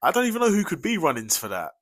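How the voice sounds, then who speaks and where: A man's voice: sits high, wildly swooping pitch, racing, fairly bright tone. A young British man co-hosting a podcast in casual chat, informal and slangy, often repeating himself as he agrees. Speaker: podcast co-host. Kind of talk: casual chat about wrestling.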